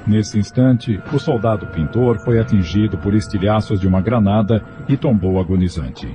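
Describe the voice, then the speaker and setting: A man's voice: fairly low pitch, normal speed, background noise. A man narrating a radio drama from a script in a dramatic storytelling style.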